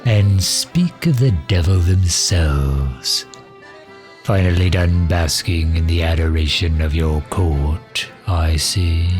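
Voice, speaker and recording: Man voicing very deep, sardonic mage advisor. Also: darkish tone. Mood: dry sarcasm. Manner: dry, sardonic, drawn-out delivery with sighs. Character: loyal, sharp-tongued male mage advisor to a demon ruler. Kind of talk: ASMR roleplay audio drama.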